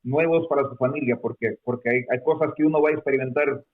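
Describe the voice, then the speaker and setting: A man's voice: medium pitch, fairly even intonation, quick pace. Peruvian-born man on a tinny phone line. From Peru. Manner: hesitant, many fillers and restarts. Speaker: guest. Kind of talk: conversational explanation. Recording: phone-like narrow sound.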